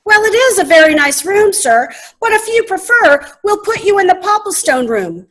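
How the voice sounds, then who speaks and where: Woman acting very high-voiced brisk restaurant hostess. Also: very animated, fairly bright tone, full character voice. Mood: patient, insistent. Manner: brisk, formal customer-service phrasing. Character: brisk, polite but exasperated restaurant hostess. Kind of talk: comic readers' theater sketch.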